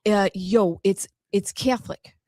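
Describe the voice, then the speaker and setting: A woman puts on a brash Italian-American woman with a thick New York accent, a smoker with a gruff, clipped edge who snaps "yo" and warns people off her lawn. The voice is pitched around a woman's middle range. It sounds close and dry, with no room around it. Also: a little slow, dark tone, dry recording, natural voice. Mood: tough.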